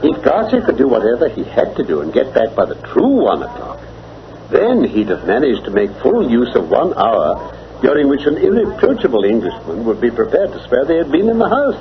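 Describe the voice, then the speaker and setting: An old, dull and slightly noisy radio recording of an assured English gentleman detective. He reasons in precise, formal British speech, with a lively, swinging intonation. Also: mid-range voice, fairly quick. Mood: analytical, assured. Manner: precise, deductive, formal diction. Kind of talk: mid-century radio drama acting.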